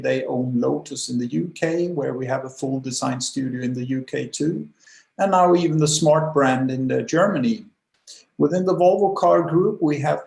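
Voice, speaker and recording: A man's voice: on the high side, vocal fry, darkish tone. Danish-born guy presenting slides on a call, lots of uhs, roomy echo. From Denmark. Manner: hesitant, many fillers. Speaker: guest presenter, automotive designer. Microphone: video call.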